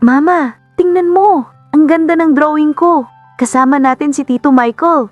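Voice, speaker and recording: A woman voicing a cheerful, excited little boy proudly chattering in a story. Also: sits high, normal speed, no echo, lo-fi sound, faint music behind, pitched above the performer's own voice, lightly acted. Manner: excited, bright.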